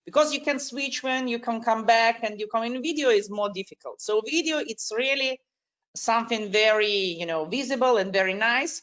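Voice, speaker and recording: A woman speaking English as a second language, with a foreign accent and a fairly high voice. She gives unscripted expert answers on an online panel. Her delivery is halting, and she repeats words to stress her point. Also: a bit bright. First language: not English. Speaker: surgeon on a discussion panel. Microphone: online video call.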